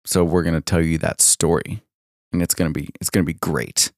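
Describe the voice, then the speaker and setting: A man's voice: a bass voice, flat delivery, a little fast, no echo, studio quality. A young man co-hosting a podcast, welcoming listeners and chiming in with short, chipper remarks. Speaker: podcast co-host. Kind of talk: casual chat.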